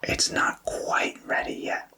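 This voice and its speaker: A man softly whispering a tarot card reading in a thoughtful, explanatory way, with hesitant phrasing. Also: slow, distant mic. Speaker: tarot reader.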